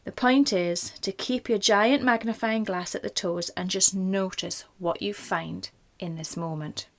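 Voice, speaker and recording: A woman leading a guided meditation in a gentle, informal, reassuring manner. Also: medium pitch, fairly even intonation, measured pace. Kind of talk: guided body scan meditation.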